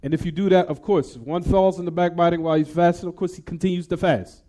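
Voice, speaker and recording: A man's voice: sits high, steady tone, fast, mellow. A male religious teacher explaining a text to a class in casual, conversational English mixed with Arabic terms, often asking the class "what?" and checking that everyone follows. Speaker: Islamic teacher.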